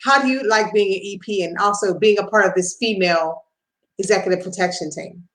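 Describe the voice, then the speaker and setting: Woman host, rambling questions, roomy echo, medium pitch. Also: brisk, fairly bright tone. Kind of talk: interview questions.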